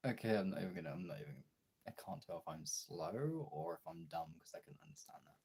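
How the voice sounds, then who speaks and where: Hoarse, gravelly low-voiced British man. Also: lively intonation, fairly quick, darkish tone. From Britain. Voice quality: raspy, throaty. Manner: informal, sweary. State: hoarse from illness, throat clearing. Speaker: live streamer and audio voice performer. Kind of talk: casual livestream chat.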